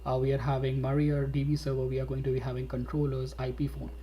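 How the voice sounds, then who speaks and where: A man leads a webinar over a computer mic, walking viewers through a screen demo. His delivery stays level and unhurried, with a warm, mellow tone, and he leans on fillers as he explains. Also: medium pitch, slight background noise. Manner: steady explanatory talk with frequent fillers and "all right". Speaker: instructor.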